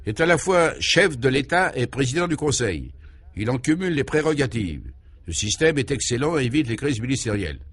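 A man's voice: mid-range voice, big pitch swings, a little fast, fairly bright tone, slightly rough, voice pushed up, full character voice. A man voicing a self-assured local who proudly explains an absurd government in a pompous, emphatic way, in a comic radio serial. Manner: pompous, mock-official, emphatic.

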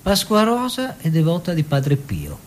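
An actor playing a proud, bitter, wry artist husband in a radio drama, with emphatic lists and repetitions that drop into hushed asides. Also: male voice, wildly swooping pitch, measured pace. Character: opinionated Italian architect-painter husband, proud, bitter, wry. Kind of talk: literary stage-style radio drama monologue and dialogue. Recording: faint background noise with low rumble.

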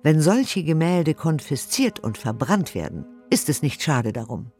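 A stuffy disapproving pious critic in a radio play, slightly high but mellow voice, faint music behind. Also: male voice, very animated, measured pace. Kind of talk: dramatic reading of a press critique in a radio play.

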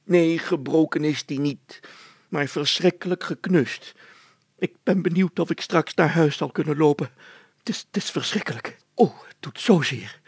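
Storybook mayor, acted by a man, pompous, grumpy, whiny, stubborn, raised pitch, husky edge. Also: moderate pace, no room sound. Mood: grumpy, whining. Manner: blustering, complaining, commanding. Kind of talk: children's storybook character acting.

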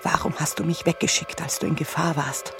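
An actress in a German radio play voicing a bitter, defensive grown daughter who accuses and anguishes. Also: female voice, sits low, fairly even intonation, a little fast, lightly acted. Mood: anguished. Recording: music behind the voice. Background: music.

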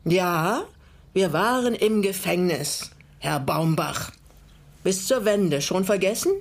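An older woman in a naturalistic radio crime drama. She speaks in a measured, composed way. Bitterness shows in her pointed rhetorical questions. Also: fairly low pitch, lively intonation, vocal fry, exaggerated voice. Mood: measured, bitter. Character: composed, bitter older widow, former political prisoner. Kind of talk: naturalistic German radio play acting.

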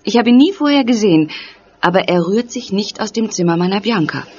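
A woman's voice: medium pitch, lively intonation, fairly quick, darkish tone, breathy edge. An actress playing a worried, polite mother in a German audio drama. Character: worried mother receiving investigators. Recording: dull band-limited audio.

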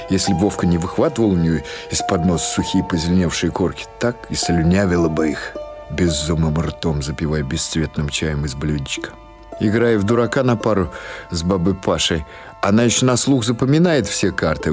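A man reading literary prose as an audiobook narrator, in a measured, expressive storytelling manner. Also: sits low.

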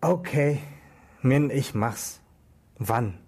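An actress in a radio drama playing a weary young rookie policewoman, husky, terse and irritable. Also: rumbling low, lively intonation, drawn-out words, a little mellow, full character voice. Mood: reluctant, irritated. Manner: sleepy, terse, muttering.

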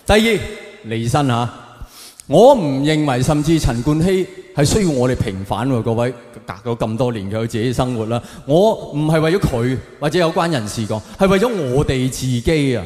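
A man's voice: mid-range voice, expressive, moderate pace, darkish tone. A man with a Hong Kong Cantonese accent performing stand-up, giving mock-serious opinions and putting rhetorical questions straight to his audience. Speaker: stand-up comedian. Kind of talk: stand-up comedy routine to a live audience. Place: live venue, on stage before an audience. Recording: echoey hall with long reverb.

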